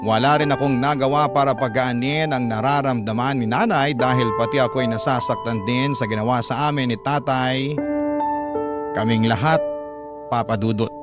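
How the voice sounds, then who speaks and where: A man's voice: medium pitch, steady tone, moderate pace. Man narrating sorrowfully over prominent music. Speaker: radio story narrator. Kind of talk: dramatic first-person scripted narration. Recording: music bed under narration.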